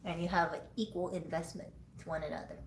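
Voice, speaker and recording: A woman's voice: lowish voice, flat delivery, fast talker, reverberant, slight background noise, rough recording. A woman co-hosting a live stream, chatting casually and teasing her co-host, laughing often.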